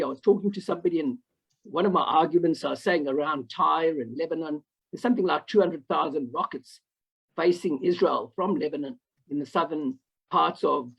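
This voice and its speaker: A South African man giving a rambling, halting comment on a video call, full of fillers and restarts. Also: on the high side, vocal fry, slightly rough. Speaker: audience member asking a question. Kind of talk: online Q&A comment. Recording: dull lo-fi video call audio.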